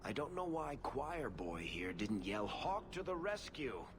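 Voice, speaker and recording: A man's voice: medium pitch, very animated, a little slow. An actor playing a teasing, roguish male dwarf storyteller in a game, with wry, theatrical mock narration. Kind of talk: game dialogue. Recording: clearly noisy ambience behind voice.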